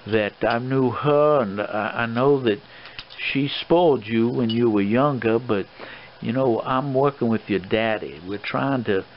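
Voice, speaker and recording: A man playing a stern, fatherly old colonel in an improvised role play, scolding a young man in a halting, rambling way. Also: mid-range voice, lightly acted. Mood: stern, paternal. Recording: dull lo-fi recording with clear background noise.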